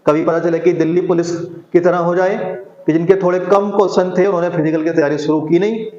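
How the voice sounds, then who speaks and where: A man's voice: high voice, fairly even intonation, fast, a little mellow. A man teaching in an informal explainer style, addressing students and repeating himself with rhetorical questions. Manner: repetitive, punctuates with rhetorical questions. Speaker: exam coaching teacher. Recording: muffled audio in echoey room.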